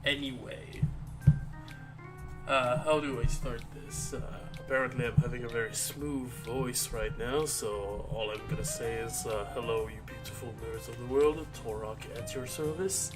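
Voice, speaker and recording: A tired man chatting casually on a live stream, rambling and halting with many restarts and fillers. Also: mid-range voice. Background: soft background music.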